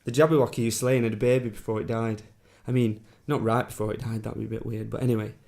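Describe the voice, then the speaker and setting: A man plays an eccentric, loyal companion in a fantasy radio play. He has a mid-pitched voice with plenty of fry, and talks in a wry, rambling way. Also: flat delivery, quick pace, dark tone, close to an everyday voice. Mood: wry, explaining. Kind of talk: amateur fantasy radio drama acting.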